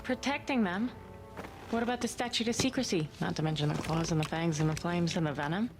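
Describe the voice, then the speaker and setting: A woman's voice: fairly low pitch, a little fast, background noise, lightly acted. An actress playing an earnest, rule-bound young witch investigator, with an American accent and an urgent, officious manner. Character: earnest, fretful young witch investigator, strict about rules. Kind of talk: film dialogue acting.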